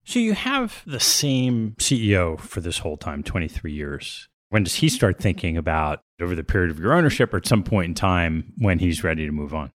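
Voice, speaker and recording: A man with a low voice hosts a business interview. He puts questions conversationally, with an inquisitive and analytical bent. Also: very animated, fairly quick, few pauses. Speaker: interviewer, host.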